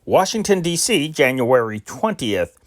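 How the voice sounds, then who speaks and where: A man reading old newspaper dispatches aloud in an unpolished, measured way, with occasional slips. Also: mid-range voice, sing-song. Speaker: news reader.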